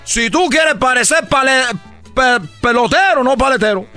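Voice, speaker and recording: A man's voice: very high-pitched, expressive, normal speed, pushed and bright, soft background music, exaggerated voice. A man voicing a boastful Cuban baseball player with a put-on Cuban accent, joking and rambling. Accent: Cuban Spanish put on.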